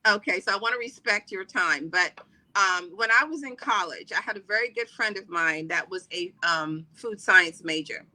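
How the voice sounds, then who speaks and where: A middle-aged woman from the Caribbean talks as a podcast guest, rambling and chatty, full of fillers and restarts. Her voice is strikingly bright and forward. She sits at a middle pitch for a woman. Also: normal speed, poor audio. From Trinidad and Barbados. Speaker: podcast guest, wellness coach. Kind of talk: casual interview talk.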